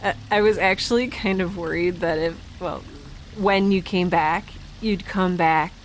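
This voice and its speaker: A woman's voice: lowish voice, steady tone, measured pace, a little hiss or hum, lightly acted. A woman playing a weary, rambling space scientist in an audio drama, with a hesitant, stammering, wry delivery. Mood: uneasy, hesitant. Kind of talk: naturalistic audio drama acting.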